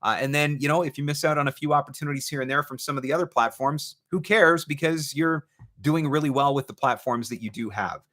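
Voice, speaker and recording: A man's voice: on the high side, a little fast, bright, forceful tone. A man hosting a casual question-and-answer stream as a coach, talking conversationally and stressing points by repeating phrases. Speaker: host, voice-over marketing coach.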